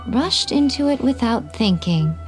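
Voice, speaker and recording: An actress playing a sly, haughty fox shrine maiden in an anime video game, teasing and wry in a theatrical way. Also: female voice, fairly high pitch, expressive, unhurried. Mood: wry exasperation. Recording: game music over the dialogue.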